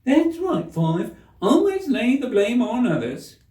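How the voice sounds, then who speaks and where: High-voiced male gardener, storybook squabbler, squawking, indignant, swooping pitch, echoey room. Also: very animated, slow pace, mellow, distant mic, heavily acted. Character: indignant quarrelsome male gardener, quick to blame. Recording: roomy echo, slightly off the mic.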